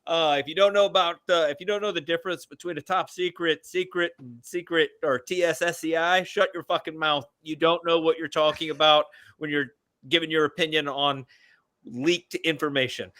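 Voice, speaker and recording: A man's voice: fairly high pitch, fairly even intonation, normal speed, bright, forceful tone, dry recording. A man in his forties co-hosting a casual podcast, crude and joking as he rambles through his segment. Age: 40s. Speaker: podcast co-host. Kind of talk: casual banter.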